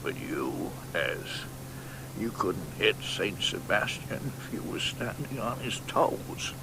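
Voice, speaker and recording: Sardonic eighty-year-old poet, whispery mutters, loud outbursts, wide swoops in pitch, slow, hissy radio recording. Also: male voice, big pitch swings, creaky, exaggerated voice. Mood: musing. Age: older. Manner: wry, grandiloquent, sardonic. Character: aging exiled poet, eighty, cantankerous, rambling. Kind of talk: 1990s radio drama acting. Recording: noisy radio broadcast recording.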